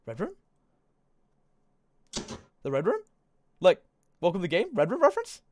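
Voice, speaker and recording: A young man hosting a livestream, reading aloud and reacting in an excitable, theatrical, jokey way. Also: high-pitched, normal speed, slight background noise. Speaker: livestream host.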